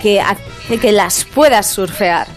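A woman's voice: mid-range voice, takes it slowly, soft background music. A woman from Madrid explaining a topic with enthusiasm on a radio show. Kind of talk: casual radio chat.

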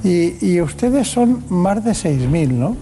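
Higher-voiced male radio host. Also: very animated, takes it slowly, mellow, slight background noise. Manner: chatty, with frequent "eh" fillers. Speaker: radio health show host. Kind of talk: radio interview.

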